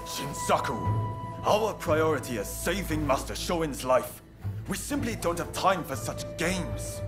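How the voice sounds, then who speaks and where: An actor playing a proud young samurai disciple in a game cutscene, stern, resolute and formal. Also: male voice, on the high side, animated, a little slow. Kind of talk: dramatic game cutscene acting. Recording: loud music over the voice.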